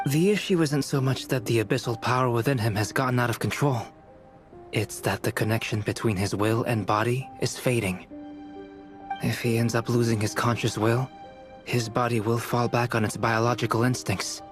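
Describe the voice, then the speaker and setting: An actor playing a calm, analytical young alchemist in a video game, explaining things in a measured, precise way. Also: male voice, mid-range voice, close to an everyday voice. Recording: music behind the voice.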